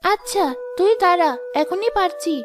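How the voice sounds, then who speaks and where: An actor plays a caring young girl, the elder sister in a cartoon story. Her voice is very high, patient and gently reproving. Clear music runs behind her. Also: normal speed. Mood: giving in.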